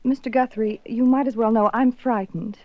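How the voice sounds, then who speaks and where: A frightened, flustered doctor's wife, played by a woman, her voice pitched a little high. She talks defensively and edges toward panic. The recording is dry and dull, like an old broadcast. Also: steady tone, normal speed, no room sound, natural voice. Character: nervous, frightened middle-aged doctor's wife. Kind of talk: 1950s radio drama acting.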